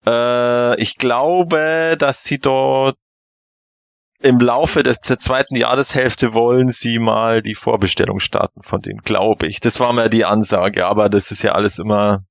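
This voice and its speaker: A man chats casually on a fan podcast. His mid-pitched voice has a gravelly creak and is recorded close and dry. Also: fairly even intonation, measured pace, dry recording. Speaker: podcast panelist.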